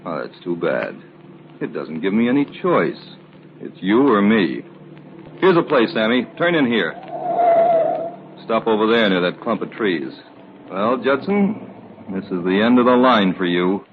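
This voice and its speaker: An actor playing a cold, commanding gangster boss who gives curt, sardonic orders in old radio crime drama style. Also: male voice, fairly low pitch, sing-song, a little slow, pauses often. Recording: lo-fi old radio recording with faint hiss.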